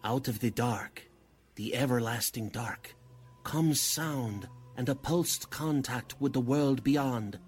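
Husky, grandiose cult medium. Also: male voice, mid-range voice, slow pace, a little mellow, soft background music. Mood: grandly incanting. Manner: grandiose, theatrical incantation. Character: pompous, oily cult leader posing as spirit medium. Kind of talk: 1940s radio drama acting.

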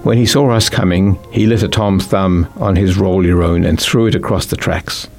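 Man, New Zealand accent, low-pitched, scripted storybook narration. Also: fairly even intonation, normal speed, creaky, a little mellow, soft background music. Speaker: author reading own book.

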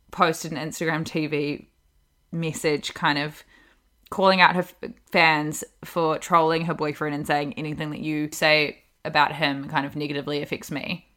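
A woman's voice: low voice, a bit bright. A woman co-hosting a podcast, chatting casually and laughing often as she tells a story. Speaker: podcast co-host. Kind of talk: casual chat.

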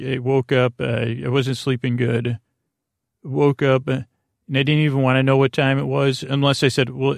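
A man with a gravelly, creaky voice of medium pitch talks close and dry, like a bedtime host. He meanders through halting, half-finished tangents. His manner is soothing and reassuring. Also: no room sound. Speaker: podcast host. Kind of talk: rambling bedtime story monologue.

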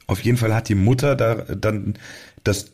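A man with a fairly low, raspy voice talks casually as a podcast host. He rambles in a halting way, often starting a sentence and then correcting himself. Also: fairly even intonation, a little mellow. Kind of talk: casual chat about music.

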